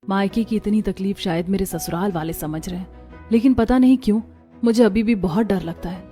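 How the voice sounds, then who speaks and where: A woman's voice: medium pitch, a little fast, warm tone, faint music behind, lightly acted. A woman voicing a hurt, guarded young daughter-in-law in a family cartoon story. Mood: sad, fearful. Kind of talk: Hindi cartoon story acting.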